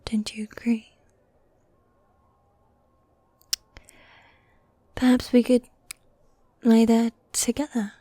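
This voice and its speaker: A woman playing a teasing, needy yet dominant goth demon girlfriend in a fantasy ASMR roleplay, soft and breathy, often whispering, close to the mic. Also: on the high side, flat delivery, unhurried, no room sound. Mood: coy, dreamy. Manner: teasing, coy, affectionate, with sighs. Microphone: close, dry microphone.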